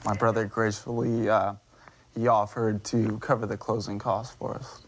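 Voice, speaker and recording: A man answering interview questions in a halting, conversational way. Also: fairly low pitch, little pitch movement, slight background noise, low-quality recording. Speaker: interviewee in a news report.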